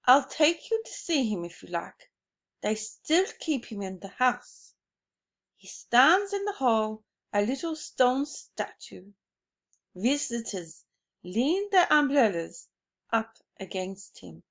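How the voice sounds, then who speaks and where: A woman reading aloud as an old grandmother who tells tales with calm, serious conviction. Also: on the high side, slow, full character voice. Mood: grave. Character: stern old grandmother, grave and matter-of-fact.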